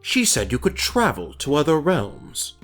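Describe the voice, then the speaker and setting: A man's voice: on the high side, wildly swooping pitch, measured pace, a bit bright, soft background music, exaggerated voice. A man playing an eager, learned old cleric in a fantasy audio drama. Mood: eager, intrigued.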